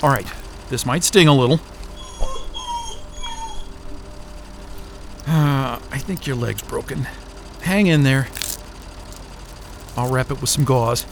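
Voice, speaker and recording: An actor playing a wry, foul-mouthed, caring explorer in a naturalistic science fiction audio drama, talking casually with muttered hesitations. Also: male voice, mid-range voice, unhurried, creaky, long pauses, noisy background. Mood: gentle, reassuring.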